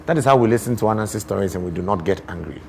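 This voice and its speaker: A man with a Ghanaian accent speaks in a lowish voice as an interview guest. He explains in an expressive, deliberate, teacherly way, leaning on rhetorical questions. There is noticeable ambient noise behind him. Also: creaky. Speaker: guest poet and storyteller.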